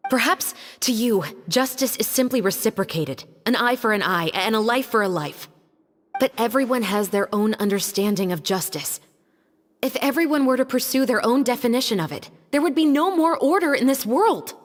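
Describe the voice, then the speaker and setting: An actress voicing a composed, principled young female law officer in a game cutscene, speaking in an earnest, deliberate, formal way. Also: a little high, animated, slight background noise, close to an everyday voice. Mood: grave, resolute. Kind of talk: dramatic video game cutscene acting. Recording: long reverb, music underneath.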